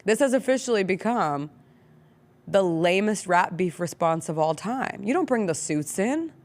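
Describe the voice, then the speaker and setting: A woman's voice: medium pitch, expressive, normal speed, a little mellow. An American woman hosting a talk show, giving conversational commentary. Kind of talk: conversational sports and culture commentary.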